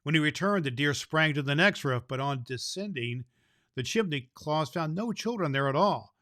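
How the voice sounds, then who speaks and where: A man playing a kindly old Santa Claus, hosting a children's Christmas show in a folksy, deliberate storyteller's manner. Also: a little high, expressive, bright, forceful tone, no echo, clean studio sound. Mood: gentle storytelling. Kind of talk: scripted announcements and storybook reading for children.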